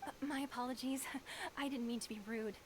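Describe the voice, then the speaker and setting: A woman's voice: high voice, fairly even intonation, a little fast, a little hiss or hum, natural voice. A woman voicing a polite, hesitant, easily awestruck young female tournament clerk in a video game.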